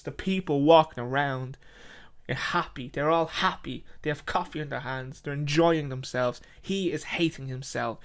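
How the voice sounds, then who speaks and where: A man telling a dramatic short story, in halting, repetitive short phrases. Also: fairly high pitch.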